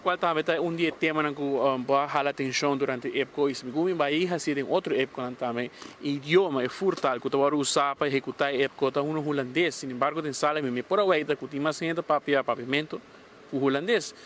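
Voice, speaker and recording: A man's voice: fairly high pitch, a little fast, a bit bright, a little hiss or hum. A man reporting the news, emphatic in delivery.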